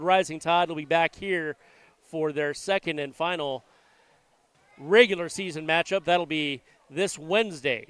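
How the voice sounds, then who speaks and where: A man's voice: a little high, a bit bright, clean tone. A man with a congested voice, just over a flu, giving easygoing live commentary on a school volleyball game from a gymnasium. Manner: easygoing, self-deprecating. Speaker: play-by-play announcer and station manager.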